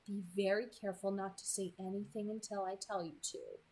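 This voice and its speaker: Woman voicing a softly menacing, polite man. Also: medium pitch, flat delivery, brisk, a little hiss or hum, lightly acted, rough recording. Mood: coolly amused. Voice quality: light, smooth, pleasant tenor. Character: pleasant, softly menacing man, coolly amused kidnapper on phone.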